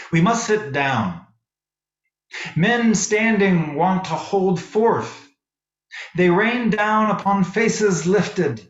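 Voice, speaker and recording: A man giving a sermon as a minister, speaking in measured, deliberate phrases with pauses. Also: fairly high pitch, echoey room, dull audio. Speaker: minister preaching to a congregation. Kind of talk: sermon with poetry readings. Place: echoey worship space.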